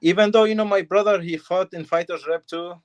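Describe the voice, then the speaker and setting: Young man in halting English. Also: high-pitched, fairly even intonation. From Syria. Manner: halting short phrases, simple non-native English. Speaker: fighter, guest. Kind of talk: casual interview answers.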